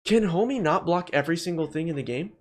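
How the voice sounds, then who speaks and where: A man's voice: on the high side, fast. A young man giving casual, opinionated, joking commentary as he ranks things in a gaming video. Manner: animated, joking, mildly profane.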